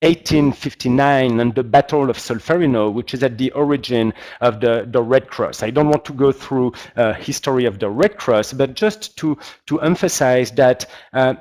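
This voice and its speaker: Man, strong French accent, guest expert lecturing online, hesitant and polite, medium pitch. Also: moderate pace, hardly any pauses, a little mellow. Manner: hesitant, searching for words, polite. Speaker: guest expert, humanitarian practitioner. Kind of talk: webinar presentation.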